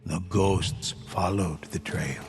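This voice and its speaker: A man playing a solemn storyteller in a video game, narrating dark samurai legends in a measured, hushed, dramatic way. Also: deep voice. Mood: solemn storytelling. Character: grave male storyteller of samurai ghost legends. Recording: loud music under the voice. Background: loud game soundtrack music.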